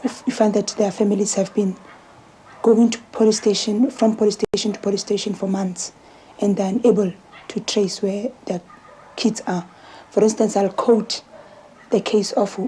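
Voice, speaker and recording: A South African woman with a husky voice speaking as an interviewee, giving testimony haltingly and repeating words. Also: medium pitch, mellow, slight background noise, rough recording.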